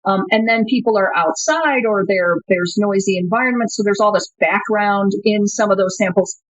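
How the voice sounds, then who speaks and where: A woman's voice: mid-range voice, moderate pace, dry recording. A woman guest on an interview show talks through her answers thoughtfully, with frequent fillers and restarts. Her audio is dry and a little dull, like a remote connection. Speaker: company co-founder, guest.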